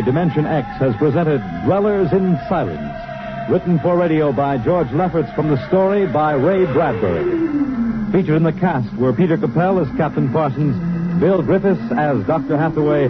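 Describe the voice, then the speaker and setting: A man's voice: a little high, lively intonation, normal speed. A man announcing formally from a script in 1950s radio style. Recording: muffled old radio recording. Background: loud music.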